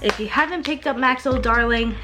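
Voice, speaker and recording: A woman's voice: sits high, a little fast. A woman chatting casually and gushingly as a beauty vlogger, giving an informal makeup tutorial to camera. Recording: music playing behind the voice. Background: background music.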